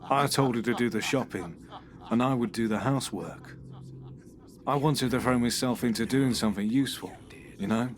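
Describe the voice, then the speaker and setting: A man's voice: medium pitch, slight background noise, lightly acted. A man playing a brooding suspect. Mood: uneasy. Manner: brooding, halting confession. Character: troubled middle-aged man, paranoid and bitter. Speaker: suspect being questioned.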